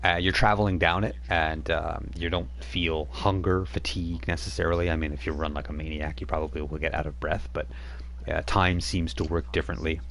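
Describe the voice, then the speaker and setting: A man's voice: rumbling low, steady tone, brisk, a bit bright, a little hiss or hum. A man narrating a tabletop game as game master, rambling with many fillers and restarts.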